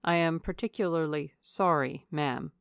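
A woman voicing a grave, courteous, guarded gentleman colonel in a storybook reading, formal and restrained. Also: low voice, unhurried, vocal fry, dry recording, clean studio sound. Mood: regretful, firm.

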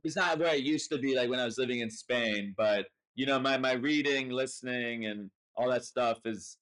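Young American man, medium pitch, chatting casually. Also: moderate pace, fairly bright tone. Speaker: podcast host. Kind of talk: casual chat.

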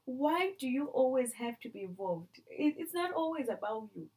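Animated Canadian woman in casual talk. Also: sits high, normal speed. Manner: animated, uses playful examples and acted-out quotes. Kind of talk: casual conversation.

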